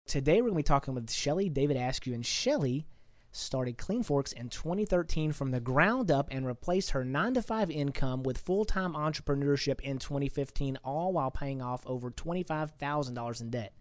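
A man's voice: medium pitch, lively intonation, a little fast, no room sound. A man hosting a podcast interview, talking casually and rambling, often repeating words as he thinks. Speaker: podcast host.

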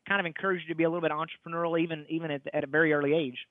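A man with an East Texas accent hosting a casual radio talk show, folksy and halting, often repeating words as he goes. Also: on the high side, level tone, dry recording. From East Texas, United States. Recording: tinny, narrow phone-like radio sound.

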